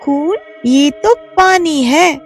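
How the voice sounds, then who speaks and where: A calm young married woman in a spooky cartoon, voiced by a woman who soothes and dismisses others' fears. She speaks high and slow, with faint music behind her. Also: heavily acted. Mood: soothing.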